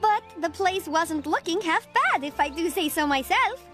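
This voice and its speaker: A woman playing an upbeat, earnest teenage monster girl in an animated film. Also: very high voice, normal speed, soft background music. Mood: hopeful, earnest. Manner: earnest, eager narration and chatter.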